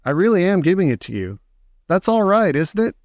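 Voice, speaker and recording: A man voicing an eccentric old chocolate factory owner in a storybook reading, kindly but brisk and emphatic. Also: sits high, big pitch swings, darkish tone, dry recording, clean studio sound, pitched above the performer's own voice. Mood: earnest, delighted.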